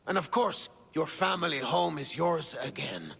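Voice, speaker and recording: An actor playing an imperious, hot-tempered ancient Spartan king in a video game, with a raspy, gravelly voice and a declamatory, commanding delivery. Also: a little high, expressive, measured pace, fairly bright tone, exaggerated voice. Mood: stern, measured. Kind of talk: dramatic video game acting. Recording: long hall reverb.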